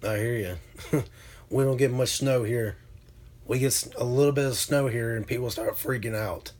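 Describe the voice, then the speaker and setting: Hoarse man chatting with viewers. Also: medium pitch. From Alabama, USA. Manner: relaxed, drawn-out with many fillers. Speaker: livestream host. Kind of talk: casual chat reading viewer comments.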